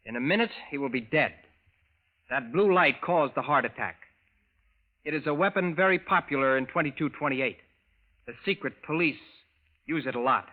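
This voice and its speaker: An actor playing a resolute, grave assassin from a future underground, speaking formally in clipped sentences in old radio drama style. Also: male voice, on the high side, animated, moderate pace, pauses often, narrow, tinny audio. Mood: urgent, commanding. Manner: formal, clipped speech without contractions. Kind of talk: 1940s radio drama acting.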